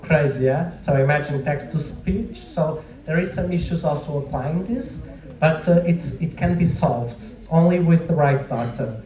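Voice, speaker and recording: A man's voice: fairly high pitch, expressive, measured pace, crowd noise. A man with a Portuguese accent, a native Portuguese speaker, giving an informal classroom lecture and often checking in with short tag questions. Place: indoor lecture room with audience. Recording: echoey room, distant microphone.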